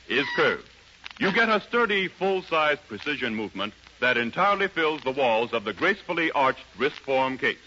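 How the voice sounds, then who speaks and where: Man, 1930s radio advertisement announcer, animated intonation, music underneath, muffled old recording. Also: mid-range voice. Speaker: commercial announcer.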